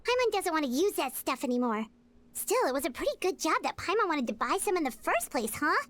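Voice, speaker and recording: A woman voicing a small, excitable fairy-like companion creature in a video game. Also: high voice, a little fast, background noise. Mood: excited, flustered.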